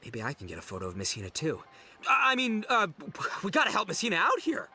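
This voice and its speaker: A man's voice: high voice, wildly swooping pitch, fast talker, bright, forceful tone, husky, exaggerated voice. A man voicing a brash, dim, big-hearted young gang boss who blusters and bumbles theatrically in a video game. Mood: excitable, blustering.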